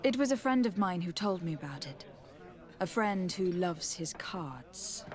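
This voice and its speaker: A woman's voice: fairly low pitch, lively intonation, unhurried. A woman playing a poised, polite young noblewoman making enquiries in a video game. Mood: polite probing. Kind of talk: video game dialogue acting.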